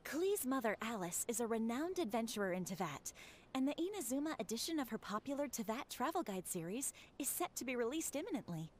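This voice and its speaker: A woman's voice: on the high side, very animated, a little fast, slight background noise. A woman voicing a composed young female messenger in a video game, formal, courteous and explanatory. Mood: polite, businesslike.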